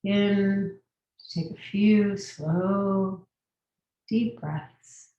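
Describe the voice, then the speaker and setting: A woman guiding a meditation as a teacher, giving gentle, unhurried instructions with long pauses. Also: mid-range voice, dull audio. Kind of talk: guided meditation. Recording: very echoey room, distant mic.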